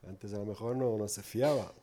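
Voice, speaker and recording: Low mellow Portuguese-accented preacher. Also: male voice, moderate pace, a little hiss or hum. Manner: earnest, many tag questions. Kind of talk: informal sermon.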